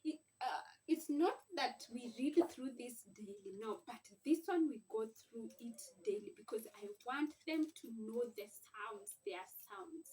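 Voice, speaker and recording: A woman's voice: a little high, lively intonation, unhurried, few pauses, darkish tone. A woman speaking as a mother and teacher in an informal instructional vlog, explaining things in simple phrases. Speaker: mother teaching her children to read. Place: at home. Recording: distant mic, faint background noise.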